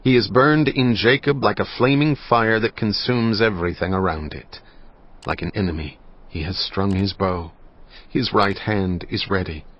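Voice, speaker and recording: A man narrating scripture in a dramatized style, with a solemn, measured, grave delivery. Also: lowish voice. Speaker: Bible narrator. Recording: slightly muffled, faint music behind.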